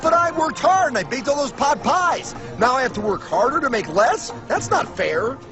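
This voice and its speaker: Guy voicing a super high whiny cartoon baker, sounds put upon, dull lo-fi track. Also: big pitch swings, moderate pace, music in the background. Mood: aggrieved. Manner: whiny, aggrieved. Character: put-upon male pot pie baker.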